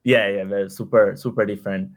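A man with an Italian accent speaks at a somewhat low pitch. He pauses often, filling gaps with "uh" and restarting his phrases as he tells his story in a relaxed interview. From Italy. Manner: hesitant, frequent fillers and repeated words. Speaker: startup executive guest. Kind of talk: casual interview conversation.

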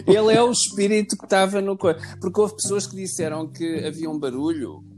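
A man with a Lisbon Portuguese accent chatting casually on a podcast, pausing often with fillers and restarting his sentences. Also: high-pitched, steady tone, darkish tone, soft background music. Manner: hesitant, many fillers and restarts, jokey asides. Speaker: podcast guest.

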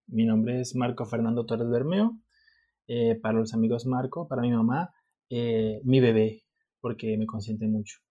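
A man's voice: mid-range voice, fairly even intonation, moderate pace, dark tone, slightly rough, highs cut off. Young man, podcast co-host, playful and joking in casual talk. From Colombia. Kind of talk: casual chat.

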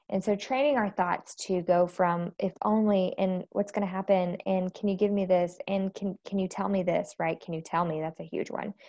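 An American woman hosting an informal devotional talk, candid and conversational, with a slightly rough, congested voice from allergies. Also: fairly low pitch, mellow. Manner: candid, conversational, self-deprecating. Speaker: host of a faith-based live devotional and podcast.

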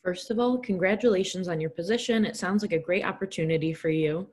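A woman's voice: on the low side, fast talker, darkish tone. A woman hosting an interview show, reading encouraging, scripted questions to her guest. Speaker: podcast host interviewer.

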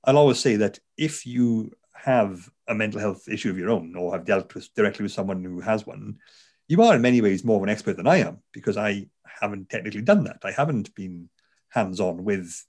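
A man's voice: fairly low pitch, lively intonation, a little fast, darkish tone, slightly rough. A man from South Wales with a Welsh accent, an author and neuroscientist talking in a casual, rambling interview with lots of fillers and restarts. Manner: chatty, frequent fillers and restarts. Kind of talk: conversational interview.

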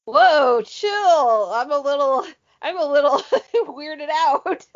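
A woman's voice: sits high, lively intonation, slow. A woman co-hosting a podcast in casual chat, rephrasing as she goes. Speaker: podcast co-host. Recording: dull lo-fi podcast audio.

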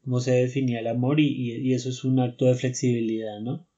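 A man hosting a talk, speaking in a measured way and often checking in with tag questions. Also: medium pitch, little pitch movement, dark tone, lo-fi sound. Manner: measured, thinks aloud, frequent tag questions. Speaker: podcast host. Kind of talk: casual conversation.

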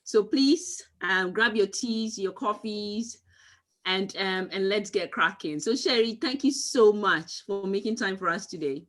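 A woman hosts a monthly conversation series over a video call, introducing her guest with effusive praise. Her pitch sits mid-range, and she takes her time, drawing out her words. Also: a little slow, fairly bright tone. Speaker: host and company CEO. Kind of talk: hosted interview.

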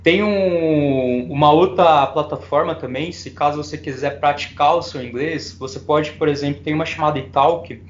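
Brazilian male podcast co-host, relaxed and hesitant, higher voice, muffled recording. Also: slight background noise. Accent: Brazilian Portuguese. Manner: relaxed, many filler "é" pauses, laughs easily. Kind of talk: casual chat. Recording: dull lo-fi sound.

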